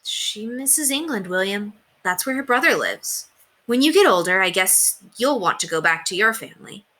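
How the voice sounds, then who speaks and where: A woman acts out a caring storybook mother with a bright, lilting voice. She explains things patiently and reassuringly, lifting her pitch a little. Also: expressive, moderate pace. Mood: gentle, soothing. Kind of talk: children's storybook read-aloud.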